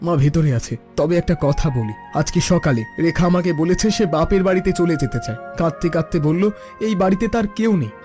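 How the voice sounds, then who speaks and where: A man's voice: fairly high pitch, lively intonation, fast, mellow, soft background music, natural voice. A man playing a worried younger brother and troubled husband in a cartoon drama, anxious and plaintive. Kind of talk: cartoon family drama acting.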